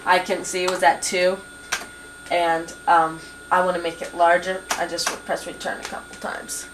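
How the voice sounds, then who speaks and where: Young woman, lowish voice, patient, halting with ums, faint camcorder hiss. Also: little pitch movement. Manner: patient, hesitant with many ums. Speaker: computer instructor. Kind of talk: hands-on software tutorial. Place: small home office at a desk computer.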